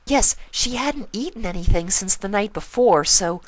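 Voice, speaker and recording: A storyteller voicing a shy, gentle, anxious female pegasus pony with a soft, whispery, hesitant voice. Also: medium pitch, lively intonation, normal speed, pitched above the performer's own voice, natural voice. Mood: worried, tearful. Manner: hesitant, timid.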